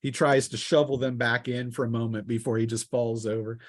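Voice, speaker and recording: A man acts as game master at a tabletop session, narrating the action in a casual, descriptive way. His voice sits at a middling pitch, and the sound is dry with little room in it. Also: little pitch movement, brisk, no echo.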